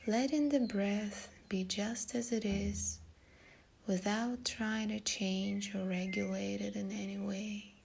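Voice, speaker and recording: A woman leading a guided meditation in a soft, breathy, soothing delivery. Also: medium pitch, steady tone, slow, a little hiss or hum.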